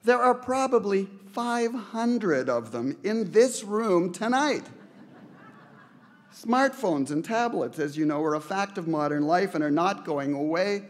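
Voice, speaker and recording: A man's voice: high-pitched, animated, a little slow. Middle-aged man making an emphatic podium speech. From Canada. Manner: earnest, emphatic, builds with repeated phrases. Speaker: award recipient giving an acceptance speech. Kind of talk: prepared speech read at a podium. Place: large banquet hall, indoors. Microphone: podium microphones.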